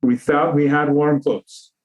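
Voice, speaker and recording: A man from Iran, a cybersecurity consultant, giving measured explanatory answers in an interview over a video call. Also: on the high side, level tone, low-quality recording. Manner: explanatory, measured, lists terms.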